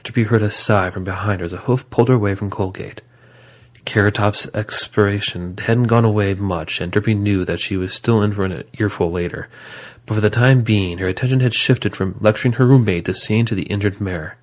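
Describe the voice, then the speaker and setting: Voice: sits low, brisk. A narrator reading scripted third-person story narration for an audio drama.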